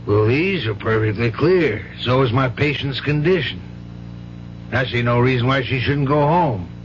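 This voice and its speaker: A man's voice: mid-range voice, expressive, normal speed, close to an everyday voice. A man playing an assured, kindly senior family doctor in a 1970s radio drama, wry and avuncular. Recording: dull, noisy vintage radio broadcast.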